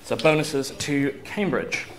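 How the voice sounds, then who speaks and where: A man's voice: mid-range voice, lively intonation, takes it slowly, crowd noise, poor audio. A man reading quiz questions formally as quizmaster, enunciating carefully in a live room. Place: university room at a quiz tournament. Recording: live room with background noise.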